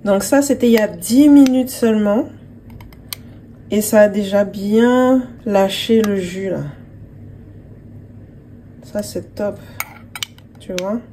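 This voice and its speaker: A woman's voice: medium pitch, slow, long pauses, dark tone, a little hiss or hum. A woman vlogger casually talking the viewer through a homemade remedy, chatting to them directly like a friend. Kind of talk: casual how-to narration to camera.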